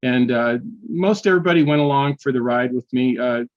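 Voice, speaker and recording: An older man, a history professor, telling personal stories in a casual interview, often restarting sentences and filling pauses. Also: medium pitch, lo-fi sound. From United States. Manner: halting, many restarts and fillers.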